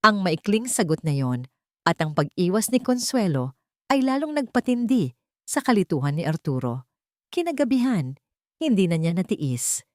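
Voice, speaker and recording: Lower-pitched woman narrating with lively swings. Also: no room sound, studio quality. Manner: dramatic, expressive reading. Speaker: storyteller. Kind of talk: scripted dramatic story narration.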